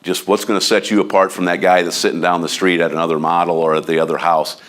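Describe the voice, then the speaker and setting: A man with a Midwestern American accent giving a casual training talk to real estate agents, loud, blunt and a bit overbearing. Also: low voice, level tone, fast. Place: office meeting room.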